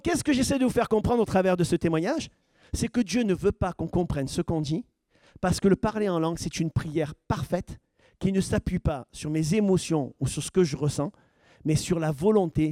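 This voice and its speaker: High-voiced man preaching with rising emphasis. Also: warm tone, no room sound. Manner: questions the congregation, builds to emphatic points. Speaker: pastor. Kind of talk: sermon teaching.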